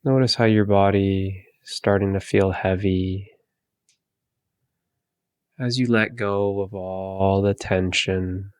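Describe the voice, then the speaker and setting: Male meditation guide, lowish pitch, very slow delivery, calm and soothing, gentle, long pauses. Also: smooth, no echo, clean studio sound.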